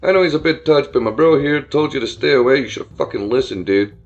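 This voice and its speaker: A man's voice: mid-range voice, expressive, fast talker, natural voice. A man voicing a crude, aggressive young frat-bro bully who taunts and swears. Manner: loud, swaggering, foul-mouthed taunting. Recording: dull lo-fi with faint music.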